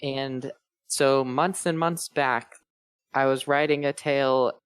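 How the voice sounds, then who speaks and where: A man's voice: medium pitch, fairly even intonation, slow pace, fairly bright tone, clear, resonant tone, no echo. A man chatting casually as a panelist, sharing his experience in an earnest, phrase-by-phrase way. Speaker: guest panelist.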